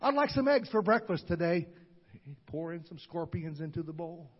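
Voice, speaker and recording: A man preaching a sermon, shouting with call-and-response to the congregation. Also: fairly high pitch, expressive, moderate pace, breathy edge, highs cut off. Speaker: pastor. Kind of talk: Pentecostal sermon.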